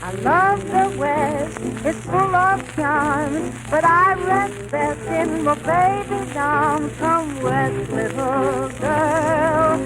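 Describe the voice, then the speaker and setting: A woman's voice: sits high, steady tone, extremely slow, hardly any pauses, music in the background, rough recording. A woman singing a dance band song as the featured vocalist on a 1930s radio broadcast. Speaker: featured singer on a radio show. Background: loud band accompaniment.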